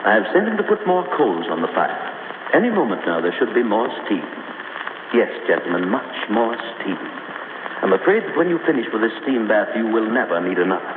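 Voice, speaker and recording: Coldly courteous villain, low animated voice, gloating menace, vintage radio drama. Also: male voice. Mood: mocking menace. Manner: mock-polite, measured menace. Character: gloating, coldly courteous male criminal mastermind. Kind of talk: 1940s radio acting. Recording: noisy, echoing, tinny 1940s radio recording.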